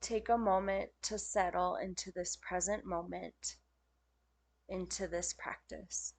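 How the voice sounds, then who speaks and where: Slow-paced woman guiding meditation. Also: fairly low pitch, steady tone. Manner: gentle, unhurried, soothing instruction with pauses. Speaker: meditation teacher. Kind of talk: guided yoga nidra meditation.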